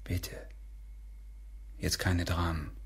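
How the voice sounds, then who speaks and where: A man's voice: completely flat delivery, drawn-out words, heavily acted. An actor playing an irritable, impatient man on a secret visit, muttering curtly in a radio drama. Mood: annoyed, weary. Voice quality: gravelly, husky low voice. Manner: muttering, curt. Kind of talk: German radio drama acting.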